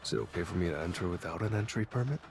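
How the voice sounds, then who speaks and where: A man's voice: low-pitched, racing. A man playing a cool, laconic, self-assured young monster hunter in a video game. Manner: terse, understated. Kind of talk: English game dub acting. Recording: music behind the voice.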